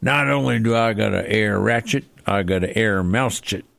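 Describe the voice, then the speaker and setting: A man plays a gruff old-west handyman with a gravelly, forceful voice, recorded dry. He brags in a folksy, competitive way, like a showdown rival in a comedy radio skit. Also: lowish voice, moderate pace, no room sound. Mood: competitive boasting.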